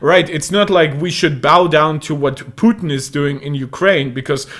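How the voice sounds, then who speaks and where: A man's voice: fairly high pitch, moderate pace, a bit bright. Chatty young man. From Moldova. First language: Russian. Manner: rambling, many fillers and self-corrections. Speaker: tech channel host. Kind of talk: casual commentary monologue.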